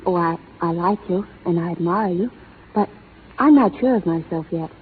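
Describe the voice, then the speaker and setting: An actress playing a spirited young schoolteacher who teases and questions quickly, in a 1930s radio drama. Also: female voice, fairly low pitch, natural voice. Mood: unsure. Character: spirited young female schoolteacher, teasing and proud. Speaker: lead actress in a radio play. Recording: tinny 1930s radio broadcast with faint hiss.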